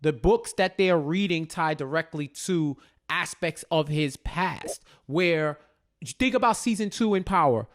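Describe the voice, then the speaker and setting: A man's voice: a little high, a little slow. A man co-hosting a casual TV recap, talking in slang, repeating phrases as he thinks and often checking in with "right?". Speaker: podcast co-host.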